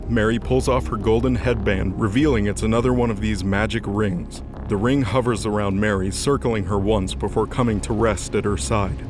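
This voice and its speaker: Male narrator, fairly low voice, measured scripted fantasy storytelling over clear background music.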